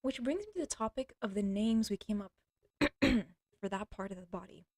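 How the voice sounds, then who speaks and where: A young woman livestreaming from home, rambling bluntly through a crude monologue, often stopping to clear her throat. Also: medium pitch, normal speed, darkish tone, no room sound. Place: at home with a cat.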